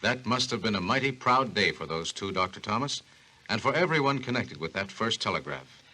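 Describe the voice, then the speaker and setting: A curious interviewer asks eager questions in 1940s radio dialogue. His husky voice is bright and forceful, with very animated pitch. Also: brisk, exaggerated voice, rough recording. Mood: curious, impressed. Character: curious, attentive male interviewer prompting an expert. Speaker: co-host asking questions.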